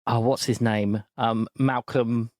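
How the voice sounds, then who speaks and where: A man's voice: mid-range voice, steady tone, takes it slowly, a little mellow, no echo, studio quality. A man co-hosting a podcast in casual chat, emphatic and sweary, laughing often. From Britain. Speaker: podcast co-host. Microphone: new microphone.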